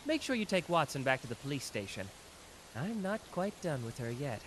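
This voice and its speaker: A man playing a confident, smug young male detective genius in a scripted anime audio roleplay, teasing and self-assured with dramatic pauses. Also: a little high, sing-song, a little hiss or hum. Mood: brisk, confident.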